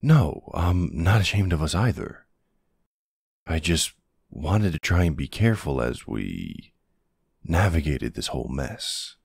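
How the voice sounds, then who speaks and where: A man playing a protective older ex-military boyfriend, gruff but tender, in an intimate ASMR roleplay with a gravelly, husky, soothing voice. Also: rumbling low, expressive, a little mellow, no room sound, studio quality, full character voice. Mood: affectionate. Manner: slow, hesitant, soothing.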